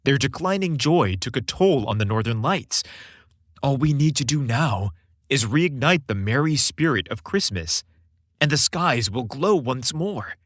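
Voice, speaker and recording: An excitable young man from a storybook, voiced by a male narrator. He is cheerful and playful, stressing his words with enthusiasm and a very animated pitch, recorded dry and close. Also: measured pace, no room sound. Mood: excited, elated.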